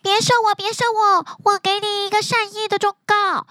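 A woman voicing a small, eager, helpful talking fox in a children's bedtime story, speaking in a high, hurried voice. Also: level tone, bright, forceful tone, no room sound, clean studio sound. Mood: urgent pleading. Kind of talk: storybook character voice.

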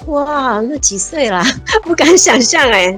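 A woman's voice: sits high, expressive, a bit bright, soft background music. Cheerful woman in her fifties, guest chat. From Taiwan. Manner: cheerful, laughs often, quick bursts of enthusiasm. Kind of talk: casual interview chat.

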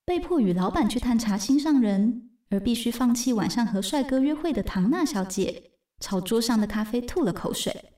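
A woman's voice: a little high, animated, normal speed, reverberant, far from the mic. A woman with a Taiwanese Mandarin accent reading scripted narration for a radio drama. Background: soft music.

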